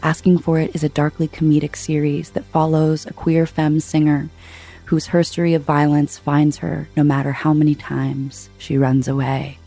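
A woman reading an intimate, deadpan scripted promo for a podcast. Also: deep voice, normal speed, creaky, soft background music, poor audio.

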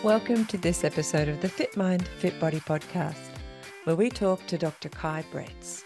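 A woman's voice: fairly low pitch, moderate pace, music in the background. A woman from Australia hosting a running podcast interview, chatty and enthusiastic. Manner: enthusiastic, chatty, warm laughter. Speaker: podcast host.

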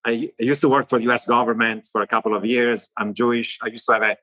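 A middle-aged economist with an Italian accent, answering interview questions in long animated lists. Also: male voice, medium pitch, fast talker, low-quality recording. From Italy. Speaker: economist guest.

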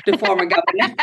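A woman's voice: mid-range voice, a bit bright. A woman from Germany with a German accent, chatting as a podcast guest and searching for words in English. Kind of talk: casual conversation. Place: video call.

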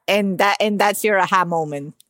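A woman's voice: medium pitch, moderate pace, fairly bright tone, no room sound, studio quality. A woman in her thirties or forties hosting a podcast in a casual, conversational way. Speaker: podcast host.

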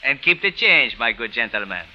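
A man's voice: medium pitch, very animated, natural voice. An actor playing a small, shabby, sly Cairo man with flowery, obsequious courtesy in 1950s radio drama style. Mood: fawning, sly. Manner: obsequious, flowery courtesy, quick to take offence. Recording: muffled vintage radio recording, faint noise.

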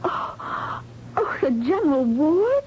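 A woman's voice: sits high, sing-song, slow pace, exaggerated voice. Sincere young hospital woman, emotional, stands her ground, old radio play, muffled and hissy. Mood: protesting. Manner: earnest, emotional, protesting. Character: earnest, kindhearted, defiant young female hospital worker. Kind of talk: 1970s radio drama acting. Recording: dull, noisy old radio broadcast.

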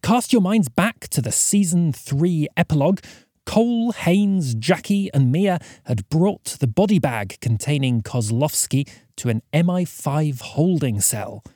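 A man hosting a podcast, reading scripted announcements in a courteous, deliberate way. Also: on the high side, lively intonation, dark tone, no echo, clean studio sound. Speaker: podcast host.

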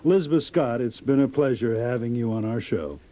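Mellow-voiced man hosting radio interview. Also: medium pitch, fairly quick. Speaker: radio show host and interviewer. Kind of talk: polite celebrity interview.

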